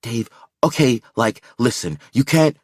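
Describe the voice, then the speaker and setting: A man's voice: mid-range voice, lively intonation, unhurried, a little mellow, no room sound, studio quality, pitched above the performer's own voice. A man voicing an exhausted, angry woman who argues over the phone, breathless and strained. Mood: agitated.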